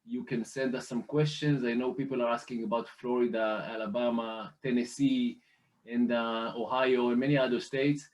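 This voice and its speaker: Israeli-accented man with halting delivery. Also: medium pitch, fairly even intonation, recorded from a distance, low-quality recording. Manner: halting, many filler pauses and restarts. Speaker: guest presenting a lending program. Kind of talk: slide presentation over a call.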